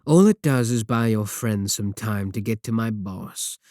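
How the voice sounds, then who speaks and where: A man playing a coolly sardonic villain in an audio roleplay, unhurried, condescending and softly threatening. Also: fairly low pitch, dry recording, clean studio sound, close to an everyday voice. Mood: smug, firm. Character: menacing, coolly sardonic male villain of an evil organization. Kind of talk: scripted ASMR audio roleplay.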